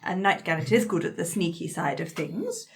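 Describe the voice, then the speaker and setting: Female roleplay gamer, animated intonation, courteous and slightly prim, improvising casually. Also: lowish voice, normal speed, gravelly. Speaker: tabletop roleplaying player. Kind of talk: casual improvised in-character game chat.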